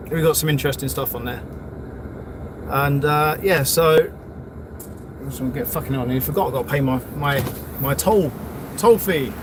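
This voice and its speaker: A middle-aged man, chatting casually and swearing on a live stream while driving, rambling and full of fillers. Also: fairly high pitch. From London, England. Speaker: livestreaming vlogger. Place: in a vehicle on city roads near an airport. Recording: loud road noise from a moving car. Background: loud traffic and road noise.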